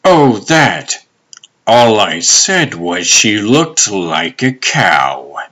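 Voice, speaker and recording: A man's voice: fairly low pitch, big pitch swings, drawn-out words, fairly bright tone, heavily acted. A man voicing a smug, sardonic villain baron in a comic dub, drawling and dismissive in a theatrical way. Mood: flippant. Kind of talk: comic dub character acting.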